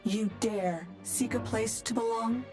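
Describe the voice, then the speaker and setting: Casting: actress as cold accusing tormentor, slow delivery, medium pitch, menacing game dialogue over music. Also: female voice, lightly acted. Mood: cold menace. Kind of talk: video game voice acting.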